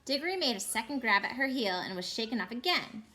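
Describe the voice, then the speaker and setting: Female storyteller, highish bright voice, very expressive and emphatic children's book narration. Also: quick pace. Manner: dramatic, emphatic. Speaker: storyteller reading aloud.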